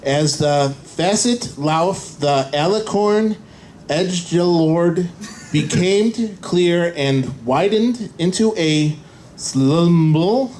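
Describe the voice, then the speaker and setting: A man giving a halting, dramatic live reading, deliberately sounding out each odd word. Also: on the high side, darkish tone, low-quality recording. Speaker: panel participant reading aloud. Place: indoor convention panel room. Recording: faint live room noise.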